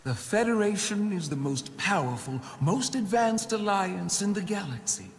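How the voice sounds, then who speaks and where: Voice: medium pitch, animated, moderate pace, lightly acted. An actor voicing a proud, stern alien monarch in a video game, formal and imperious, pressing with pointed questions. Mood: stern, probing. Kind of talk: dramatic video game acting. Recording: noisy game audio, long hall reverb.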